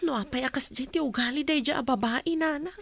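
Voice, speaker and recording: A woman's voice: high voice, fairly quick, vocal fry, fairly bright tone. A woman playing an earnest, polite young working woman in an audio drama. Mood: hurt, pleading.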